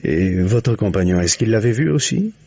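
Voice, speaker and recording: A man's voice: fairly low pitch, animated, normal speed, dark tone. A man playing a shrewd, patient police commissioner in a French radio mystery, questioning in a probing, paternal, conversational way with muttered prompts. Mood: pressing. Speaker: actor in a radio mystery play. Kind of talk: 1950s French radio drama acting. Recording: muffled old radio recording.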